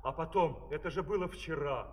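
A servile, sorrowful menagerie keeper , played by a male actor, in an old fairy-tale opera recording. The voice is high for a man and theatrically drawn, ringing in a long, echoing hall reverb. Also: normal speed, natural voice. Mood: meek, obliging.

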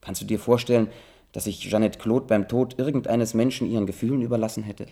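An actor in a radio drama playing an earnest, rationalizing friend, a husky-voiced man who soothes yet insists. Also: fairly low pitch, fairly even intonation, fairly quick, mellow. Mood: insistent, reasoning. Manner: insistent, persuading.

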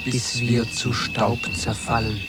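An actor playing a solemn stone cemetery statue that speaks in measured warnings to the living in a German radio play. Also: male voice, medium pitch, little pitch movement, over loud music, close to an everyday voice. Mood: solemn, ominous.

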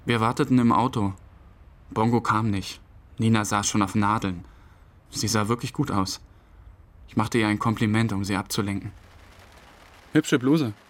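A man's voice: on the low side, normal speed, pauses often, close to an everyday voice. An actor playing a subdued, hesitant man who recalls a friend's burial in plain, short sentences, as narrator of a radio play. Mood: reflective. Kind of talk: interview-like dramatic monologue, past-tense recollection. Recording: faint rain and ambience noise.

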